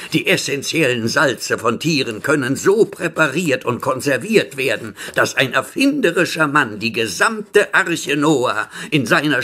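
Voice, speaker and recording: A male actor playing a sinister, obsessive, ageless alchemist in a horror radio play, pompous and theatrical, with a husky voice. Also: medium pitch, pushed and bright, exaggerated voice. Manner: slow, pompous, theatrical declaiming. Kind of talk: horror audio drama acting.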